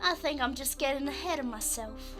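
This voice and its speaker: Woman playing an eager, high-pitched young apprentice. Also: fairly quick, fairly bright tone, soft background music. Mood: eager, earnest. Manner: earnest, eager. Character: eager, hopeful young female detective's apprentice. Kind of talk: radio drama acting.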